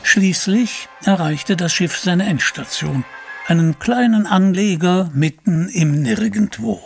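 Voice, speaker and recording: Erzähler mit eher hoher Stimme. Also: male voice, high-pitched, slow, creaky, faint music behind, heavily acted. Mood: measured storytelling. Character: male storyteller narrating an adventure tale. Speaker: narrator. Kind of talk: scripted audio drama narration.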